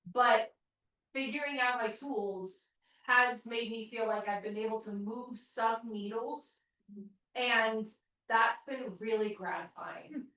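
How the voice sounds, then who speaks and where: A woman taking part in a group discussion, speaking loudly and emphatically. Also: fairly high pitch. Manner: emphatic, loud, self-described obnoxious. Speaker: group participant. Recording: very echoey room from far off.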